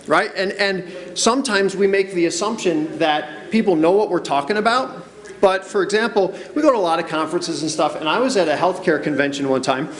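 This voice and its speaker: A man giving a casual conference talk, chatty and often asking "right?" to the audience. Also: fairly high pitch. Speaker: conference presenter. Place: conference room with noise next door. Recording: roomy hall recording.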